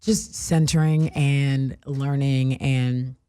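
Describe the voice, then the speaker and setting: Low-pitched woman chatting casually and animatedly. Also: takes it slowly, clear, resonant tone. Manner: animated, slangy, acts out imagined exchanges. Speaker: guest on a talk show. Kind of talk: casual conversational chat.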